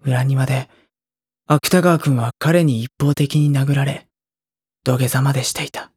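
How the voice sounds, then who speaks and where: An actor playing a guarded, skeptical high school boy in a Japanese audio drama, polite in wording but blunt. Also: fairly even intonation, measured pace, darkish tone, breathy edge, dry recording, clean studio sound. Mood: brooding. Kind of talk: Japanese audio drama dialogue and inner narration.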